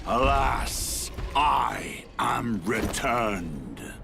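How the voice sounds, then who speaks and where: An actor playing a grave, proud older warrior king in a video game, with archaic, solemn diction and a gravelly voice. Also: medium pitch, very animated, noisy background, heavily acted. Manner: slow, solemn, weighty delivery with archaic diction. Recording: loud music under the voice. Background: loud cinematic game music.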